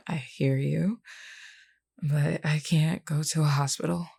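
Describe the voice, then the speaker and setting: A woman's voice: deep voice, steady tone, slow pace, vocal fry, husky, full character voice. A woman voicing a flirty, wounded female werewolf, teasing and weary, sighing through pain in an intimate audio roleplay. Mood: strained, tired. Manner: teasing, sighing, calls listener hun.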